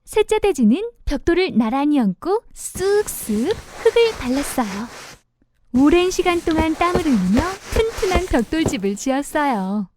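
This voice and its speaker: High, animated woman storyteller. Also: moderate pace, background noise. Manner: expressive, simple storytelling for young children. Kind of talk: children's storybook narration.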